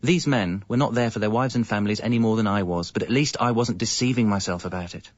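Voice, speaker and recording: A man's voice: fairly low pitch, fast, no echo, natural voice. Male actor as english dad, fed up and evasive, wry confessional radio comedy narration, bit muffled. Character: discontented, evasive young father and jingle writer. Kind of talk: scripted first-person comic narration.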